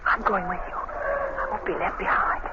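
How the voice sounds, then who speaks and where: Anxious radio heroine, very animated. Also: female voice, mid-range voice, normal speed. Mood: nervous. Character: frightened, loyal female companion of a sleuth. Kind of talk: 1940s radio acting. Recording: very noisy, muffled old radio recording.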